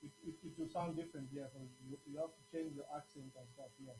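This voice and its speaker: Zambian guy dj hosting a chat show, kinda high voice, bit of hum and noise behind him. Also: fairly even intonation, fast talker. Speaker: radio show host and DJ. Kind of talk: casual interview chat. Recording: faint electrical hum and noise.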